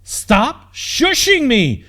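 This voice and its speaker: Man putting on a whiny spoiled young princess, pitched high. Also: wildly swooping pitch, drawn-out words, pushed and bright, full character voice. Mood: indignant rage. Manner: whiny, haughty, insistent. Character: spoiled, headstrong young princess, indignant and pleading.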